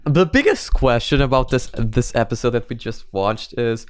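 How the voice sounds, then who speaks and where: A man's voice: mid-range voice, animated, moderate pace, clear, resonant tone, dry recording. A man giving casual, off-the-cuff reaction commentary, thinking aloud in a hesitant, rambling way. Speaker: host of anime reaction videos.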